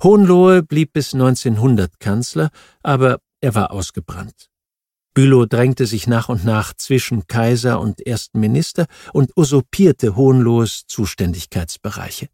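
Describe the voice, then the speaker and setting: A man reads a scripted nonfiction audiobook in a measured, factual manner. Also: mid-range voice, animated, mellow, slightly rough, no room sound, clean studio sound. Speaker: audiobook narrator.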